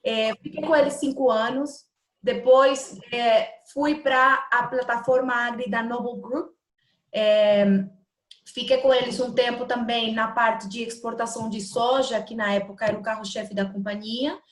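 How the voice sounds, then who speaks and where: A woman in her thirties from Colombia who speaks with a fairly high voice. She talks in a measured, hesitant way as an interview guest, and her voice echoes around the room. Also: steady tone. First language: Spanish. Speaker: guest, trading company executive. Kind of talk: interview answers.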